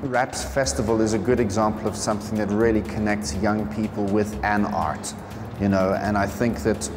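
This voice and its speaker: A South African man speaking as a corporate representative in an interview, measured, often restarting his phrases. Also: on the low side, flat delivery, in a large hall, over music.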